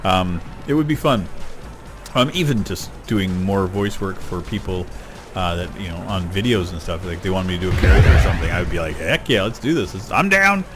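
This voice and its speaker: A middle-aged man rambling casually while playing a video game, getting excited over loot. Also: deep voice, brisk. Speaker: gaming YouTuber. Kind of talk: casual let's-play commentary. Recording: game music behind voice. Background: game music.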